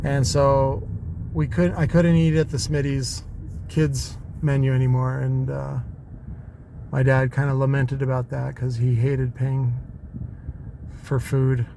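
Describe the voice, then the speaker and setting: A man's voice: little pitch movement, slow, gravelly. A middle-aged American man rambling wryly through personal stories while driving, with frequent "right?" asides. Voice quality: fairly high-pitched voice. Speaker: vlogger. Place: in a vehicle, driving. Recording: loud car and road noise. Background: car and traffic sounds.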